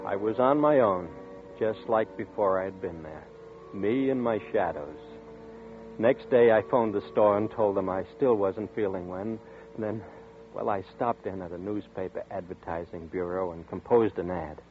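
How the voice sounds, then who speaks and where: A man's voice: lowish voice, normal speed, faint music behind, close to an everyday voice. Troubled young man, played by a man. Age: 20s. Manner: tense, troubled, insistent narration. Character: anxious young man haunted by a dream. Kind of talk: 1940s radio drama acting. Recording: tinny old radio broadcast with faint hiss.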